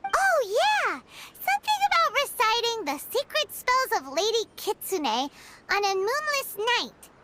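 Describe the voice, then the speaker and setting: A woman voicing a small, childlike fairy companion in a video game, squeaky, whiny and dramatically exclamatory. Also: big pitch swings, unhurried, heavy background noise, exaggerated voice. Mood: grumbling, curious. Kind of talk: English video game voice acting.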